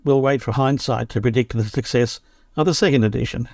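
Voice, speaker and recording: A man's voice: mid-range voice, a little fast, gravelly, darkish tone, dry recording. A man reading a magazine article aloud in a wry, opinionated way.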